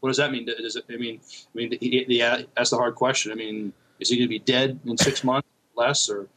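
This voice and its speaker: A man co-hosting a podcast in casual chat, speaking haltingly and often repeating words as he thinks. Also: medium pitch, flat delivery, fairly bright tone, a little hiss or hum, rough recording. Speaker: podcast co-host. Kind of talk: casual review chat about TV shows.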